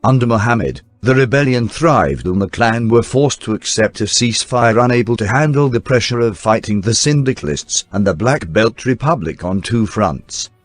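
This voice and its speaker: A man reading scripted newsreel documentary narration in a formal, measured announcer style. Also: mid-range voice, lively intonation, no room sound. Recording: dry voice-over with faint music bed. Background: faint background music.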